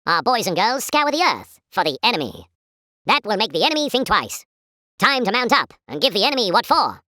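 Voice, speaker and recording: Casting: a jolly British 1940s newsreel announcer for a war game, pompous and patriotic, bright forceful tone, swooping theatrical delivery. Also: female voice, medium pitch, very animated, brisk, no room sound, studio quality. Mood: triumphant. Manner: pompous, formal, chipper propaganda delivery.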